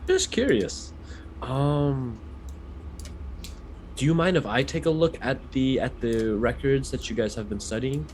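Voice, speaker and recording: A man's voice: medium pitch, lively intonation, unhurried, pauses often, darkish tone, slight background noise. A man playing a tabletop game, asking short, curious questions. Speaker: player. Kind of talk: casual play at a tabletop game.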